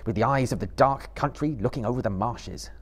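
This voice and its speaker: A man voicing an earnest, determined, gentlemanly hobbit adventurer, thoughtful and well-spoken, in a live storybook reading. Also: medium pitch, fairly quick, close to an everyday voice.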